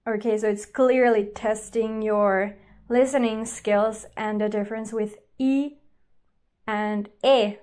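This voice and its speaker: A woman's voice: medium pitch, fairly even intonation, slow pace, darkish tone. A woman with a Finnish accent, a native Finnish speaker and teacher, reviewing a language app and explaining as she goes. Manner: explains and comments while trying the app. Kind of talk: app review, talking to camera.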